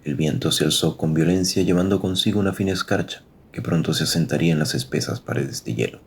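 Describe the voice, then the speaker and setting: A man's voice: rumbling low, quick pace, creaky, slight background noise. A man narrating a scripted dramatic story as its storyteller.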